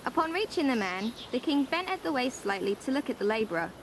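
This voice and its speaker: A woman's voice: high-pitched, very animated, fast talker. A woman playing a friendly older relative who reads bedtime stories in an amateur drama. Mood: storytelling. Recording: roomy echo, faint background noise.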